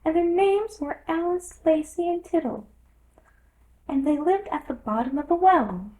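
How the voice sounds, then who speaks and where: Woman voicing a tiny high-pitched sleepy dormouse. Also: a little slow, mellow, rough recording. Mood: hurried. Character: small sleepy indignant male dormouse storyteller.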